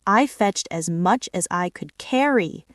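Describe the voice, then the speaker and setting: A woman voicing a bossy female rat in a children's storybook, brisk and argumentative. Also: mid-range voice, lively intonation, dry recording, clean studio sound, far from the mic, close to an everyday voice. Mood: defensive.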